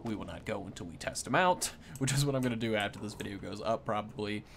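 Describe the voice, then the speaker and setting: A man's voice: lowish voice, quick pace. A young man hosting a gaming video, thinking aloud in casual, rambling, unscripted commentary. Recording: clear background noise from game. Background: video game sounds.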